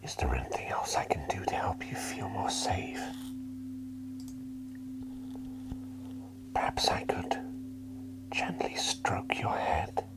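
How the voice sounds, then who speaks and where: A man playing a gentle, doting plague doctor in an ASMR roleplay, whispering soothingly in a politely genteel, rambling way. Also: low-pitched, lively intonation, unhurried, poor audio. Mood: tender, soothing. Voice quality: soft breathy whisper. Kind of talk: ASMR roleplay, personal attention.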